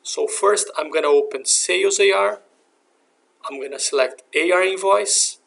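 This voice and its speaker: A man narrating a software tutorial as an instructor, explaining methodically step by step. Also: high voice, normal speed, vocal fry. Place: voice-over for a screen recording.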